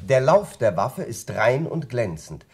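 A man playing a methodical middle-aged firearms expert in a German radio crime drama, speaking precisely and deliberately. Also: mid-range voice, big pitch swings, darkish tone. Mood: matter-of-fact.

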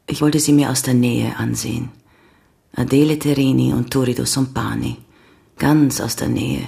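An actress playing a candid, unwavering avenging woman who confesses to police in a radio crime drama, speaking with calm, earnest deliberation. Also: a bass voice, moderate pace, gravelly, heavily acted. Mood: composed, matter-of-fact.

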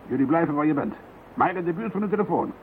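A steady police investigator in an old radio drama, calm and persuasive with a dry edge. His voice moves freely in pitch, and the sound is tinny and narrow, with faint hiss. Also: fast talker. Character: determined middle-aged male police investigator.

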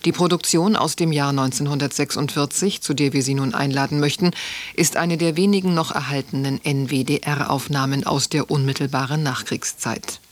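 A man reading a scripted introduction to a radio play as announcer, measured and formal. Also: on the high side, steady tone.

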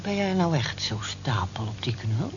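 A woman's voice: extremely low pitch, sing-song, moderate pace. An actress playing a nosy, teasing married cleaning woman on the night shift in a Dutch radio drama. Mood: nosy, cautioning. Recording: dull lo-fi old radio recording, faint noise.

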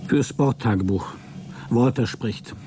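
An actor playing a prudish, wounded and coldly scheming space traveller, speaking a formal, wordy log in a radio drama. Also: male voice, mid-range voice, measured pace, background noise, natural voice. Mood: offended, righteous. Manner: formal, self-righteous, wordy. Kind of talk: radio drama monologue, spoken log entry.

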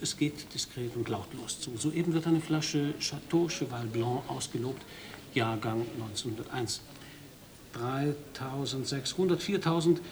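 A wry foreign correspondent, voiced by a man in a radio drama, reporting in a dry, measured tone. His pitch sits mid-range. A faint hiss runs underneath. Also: lightly acted. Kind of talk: radio play narration and interview.

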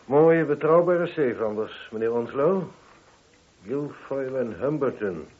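An actor playing a probing, sceptical police inspector in a Dutch radio play, questioning in a measured, dryly insistent way. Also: male voice, medium pitch, animated, a little hiss or hum, close to an everyday voice. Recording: muffled, band-limited old radio recording.

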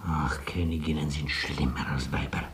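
A loyal military servant in a radio drama. His voice is low, and his delivery is dry, mixing deference with cheek. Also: steady tone, moderate pace, gravelly. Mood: pleading, grumbling. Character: loyal, dryly cheeky male orderly of a Polish colonel.

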